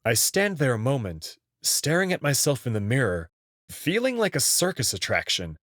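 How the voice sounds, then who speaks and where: A man's voice: medium pitch, very animated, normal speed, a bit bright, no room sound, studio quality. A man narrating a scripted story expressively as the host of a visual novel reading channel.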